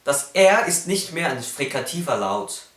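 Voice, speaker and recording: A man's voice: a little high, very animated, a little slow, a bit bright, roomy sound. A young man whose first language is Cantonese, speaking with a Cantonese accent as he explains phonetics in a casual vlog and carefully demonstrates sounds.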